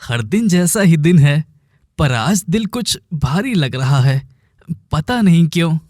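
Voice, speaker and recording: Casting: man as young village woodcutter for cartoon melodrama, earnest and uneasy, higher-pitched, very dry sound. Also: sing-song, slow, darkish tone, no room sound, faint music behind, exaggerated voice. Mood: uneasy, brooding. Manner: earnest, brooding.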